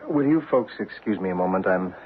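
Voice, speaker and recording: An actor playing a practical, easygoing photographer in a vintage radio drama, speaking in a casual, matter-of-fact way. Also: male voice, sits low, expressive, a little fast, soft background music. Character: practical, easygoing male photographer assisting an investigation. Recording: noisy, narrow-band old radio broadcast.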